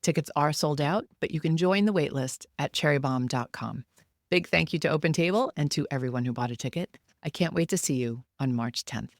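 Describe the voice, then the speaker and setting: A woman hosts a podcast in a voice with a lot of vocal fry. The sound is dry and close, and she moves between scripted announcements and interview questions. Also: deep voice, a little fast, no room sound. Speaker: podcast host.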